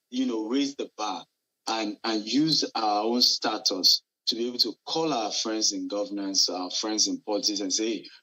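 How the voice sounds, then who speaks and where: Nigerian man, lower voice, bright and raspy, earnest TV guest who restarts a lot. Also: low-quality recording. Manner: earnest, animated, frequent restarts and fillers. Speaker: entrepreneur guest. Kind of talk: live TV interview, remote. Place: Dubai.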